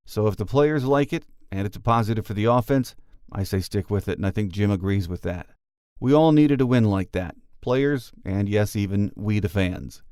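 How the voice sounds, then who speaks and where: A man's voice: fairly low pitch, a little mellow, clear, resonant tone, no room sound, studio quality. A man hosting a sports podcast, delivering prepared introductions and commentary. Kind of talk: scripted show introduction and commentary.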